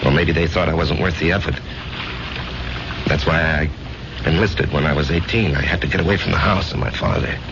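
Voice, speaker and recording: An actor playing an overworked, self-doubting salesman and war veteran in a 1970s radio drama, with an intense, halting delivery that repeats phrases. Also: a bass voice, steady tone, vocal fry. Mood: wistful, confiding. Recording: noisy, muffled 1970s radio drama recording.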